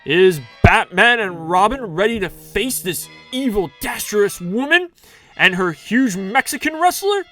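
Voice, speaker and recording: Slow, theatrical male narrator over music. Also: high voice, lively intonation, bright, forceful tone. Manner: dramatic, campy announcer delivery. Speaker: narrator of a fan audio drama. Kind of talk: theatrical scripted narration in 1960s serial style.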